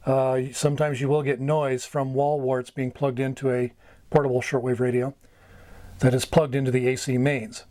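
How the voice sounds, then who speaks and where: A middle-aged American man giving an informal instructional talk, going item by item in short, halting phrases. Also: medium pitch, level tone, darkish tone, no echo. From United States. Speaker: amateur radio mentor, video host. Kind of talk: informal instructional explanation at a whiteboard. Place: small wood-panelled room indoors.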